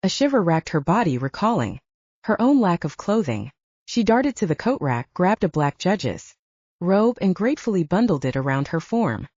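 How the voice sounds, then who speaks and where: Female story narrator, warm dark tone, lively intonation, dramatic scripted reading, very dry sound. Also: on the low side, moderate pace, husky, no echo. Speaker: audiobook narrator. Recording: slightly dull, dry close recording.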